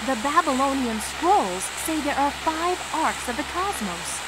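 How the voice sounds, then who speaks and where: A woman's voice: high voice, sing-song, unhurried, very noisy. A woman voicing a brainy, self-assured young female bird engineer in a video game cutscene, explaining things in precise technical terms. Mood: assured, explanatory. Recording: game audio under loud music.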